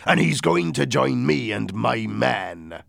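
A man's voice: mid-range voice, very animated, slow, gravelly, a bit bright, no echo, clean studio sound, pitched above the performer's own voice, heavily acted. A man voicing a wily, commanding pirate leader in a storybook reading. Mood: cheerful, sly.

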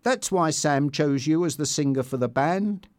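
A man's voice: a little high, normal speed, no room sound, clean studio sound, lightly acted. A man voicing a guarded, jealous young woman with an earnest, defensive manner. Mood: insistent.

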